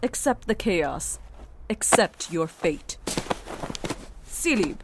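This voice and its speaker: An actress playing a stern, cold female cult leader in a horror audio drama, imperious and deliberate. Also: fairly low pitch, lively intonation, heavy background noise. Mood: stern command.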